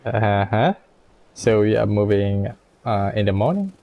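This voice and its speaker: A man doing casual game playthrough commentary, halting as he reads choices and adds short asides. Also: on the low side, animated, dull audio, faint music behind. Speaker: game streamer.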